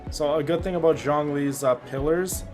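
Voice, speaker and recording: A man giving casual gameplay commentary as a streamer, explaining things informally and haltingly. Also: a little high, a little mellow, soft background music. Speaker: game streamer.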